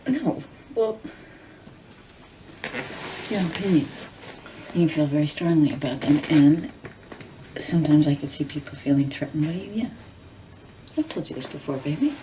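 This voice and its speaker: A woman's voice: sits low, animated, moderate pace, pauses often, dark tone, a little hiss or hum, poor audio. An actress playing a hesitant woman who carefully soothes her partner, in a naturalistic drama. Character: placating, hesitant wife or girlfriend named Liz.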